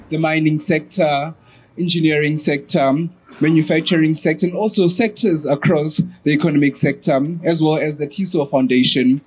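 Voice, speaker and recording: Husky South African-born male emcee. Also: a little high. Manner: polished, formal emcee delivery. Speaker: program director at an awards ceremony. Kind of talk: formal ceremony hosting.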